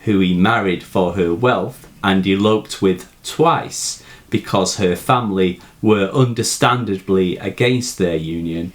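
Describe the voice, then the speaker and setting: A man presenting a scripted literary analysis and reciting verse as a teacher, with measured, deliberate phrasing and dramatic emphasis. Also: deep voice.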